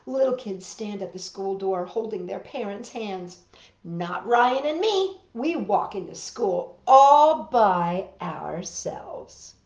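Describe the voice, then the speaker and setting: Very animated woman reading a storybook aloud. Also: medium pitch, echoey room. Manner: expressive, exaggerated delivery with drawn-out words. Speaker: children's storytime host and reader. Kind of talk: animated read-aloud of a picture book.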